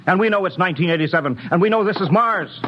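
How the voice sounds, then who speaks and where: An actor playing a stern, logical spaceship captain in a 1950s radio drama, with a clipped, commanding delivery. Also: male voice, high-pitched, lively intonation. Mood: puzzled. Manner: clipped, commanding, halting when baffled. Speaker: ship captain. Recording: dull 1950s radio broadcast, faint hiss.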